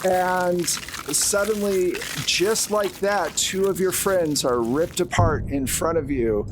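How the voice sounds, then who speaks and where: A man's voice: high voice, slow, heavy background noise. A man narrating a horror tabletop game as game master, in a casual, conversational storytelling way.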